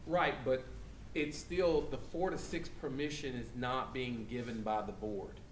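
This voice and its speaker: A man's voice: medium pitch, fairly even intonation, a little slow, slight background noise. A man, a commissioner, questioning a proposal and playing devil's advocate at a public meeting. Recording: echoey, distant room mic.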